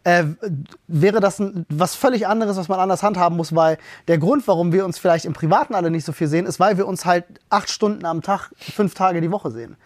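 A man's voice: high-pitched, fairly quick, a little mellow, no room sound. A man in casual podcast chat, joking and opinionated, often restarting his sentences. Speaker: podcast host.

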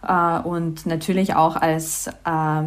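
A woman from Ukraine, a guest on a podcast, speaking haltingly with many fillers and audible emotion. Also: low-pitched, flat delivery. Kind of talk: podcast interview.

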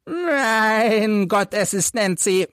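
A man's voice: sits high, lively intonation, extremely slow, fairly bright tone, dry recording, clean studio sound, pitched above the performer's own voice, full character voice. A man reading an audiobook as a grim, embittered, crippled old man with a dreadful, dramatic tone. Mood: shocked outcry. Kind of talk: audiobook character acting.

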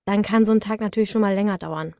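A woman's voice: medium pitch, fast, vocal fry, no echo, studio quality. A woman explaining her work as a voice actor in casual conversation, acting out little moments with sound words as she goes. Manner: animated storytelling with little acted-out sound words. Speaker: voice actor, interview guest.

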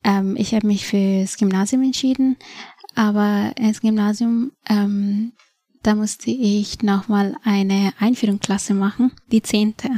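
A woman's voice: mid-range voice, fairly even intonation, slow pace, warm tone, no echo, studio quality. A woman in her twenties from Nepal, a native Nepali speaker, telling her story as a podcast guest, thoughtful and hesitant with many fillers. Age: 20s. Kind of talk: personal story in an interview. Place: podcast studio.

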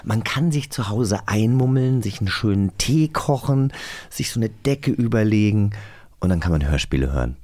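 A man's voice: on the low side, expressive, normal speed, darkish tone. Chatty enthusiastic man. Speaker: podcast host. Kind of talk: casual chat.

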